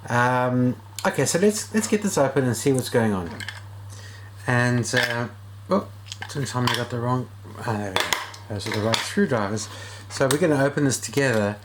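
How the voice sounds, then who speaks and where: A man narrates a casual repair video. His voice is mid-pitched, and he thinks aloud informally as he works. Some background noise sits behind the speech. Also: normal speed. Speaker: video host and radio repair hobbyist. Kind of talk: casual hands-on repair vlog.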